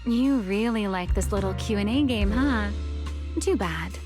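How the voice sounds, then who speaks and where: A woman playing a cool, self-assured, cryptic woman in an anime-style video game, with an aloof, taunting, unhurried delivery. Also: medium pitch, over music, lightly acted. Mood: cool teasing.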